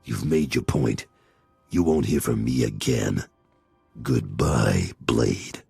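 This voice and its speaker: An actor playing a furious, grieving middle-aged enforcer in a video game, gravelly and raspy, swinging between outbursts and low growls. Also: male voice, expressive, slow, no room sound, soft background music, heavily acted. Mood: defeated. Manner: bitter, explosive, swinging from shouts to low growls. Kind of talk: dramatic video game acting.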